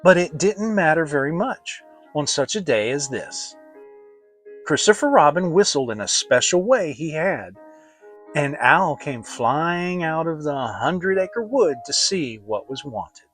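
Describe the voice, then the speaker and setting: Slow, animated storybook narrator over music. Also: mid-range voice. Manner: theatrical, expressive storytelling. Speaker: audiobook narrator. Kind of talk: dramatic children's storybook reading.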